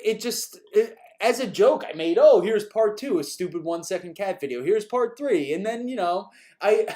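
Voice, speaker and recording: Man from New York, slightly high voice. Loose, chuckling live-stream host, mildly exasperated with repeat questions. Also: mellow. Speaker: animation creator answering viewer questions.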